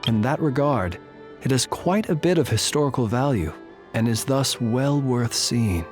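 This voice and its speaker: Game guardian: man playing a polite, formal keeper of the tombs, unhurried and precise, faint music underneath. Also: medium pitch, animated, darkish tone, lightly acted. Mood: calm. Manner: formal, measured, old-fashioned phrasing. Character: courtly male graveyard guardian, calm and formal. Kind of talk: video game dialogue.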